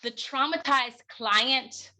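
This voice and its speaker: A woman delivering a keynote speech in a deliberate, emphatic manner, breaking phrases with dramatic pauses. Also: high-pitched, sharp tone. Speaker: keynote speaker, counseling clinician.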